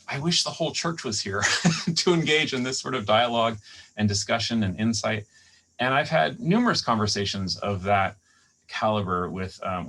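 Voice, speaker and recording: A man speaking as a deacon and host, giving a measured, reflective introduction to a seminar speaker. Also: lowish voice, fairly bright tone, rough recording.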